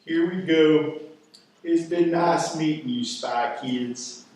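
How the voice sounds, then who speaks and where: Distant in a very echoey room, a man plays a self-important but sentimental sidekick. He talks slowly in a fairly high voice, radio drama style. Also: darkish tone. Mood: deadpan. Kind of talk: amateur radio play acting. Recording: very echoey room, off mic, faint noise.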